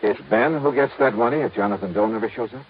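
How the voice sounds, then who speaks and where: An actor playing a hard-nosed insurance investigator who narrates and questions in a brisk, wry, pushy manner, 1950s radio drama. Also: male voice, on the low side, natural voice. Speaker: narrator and lead. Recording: dull old radio, faint hiss.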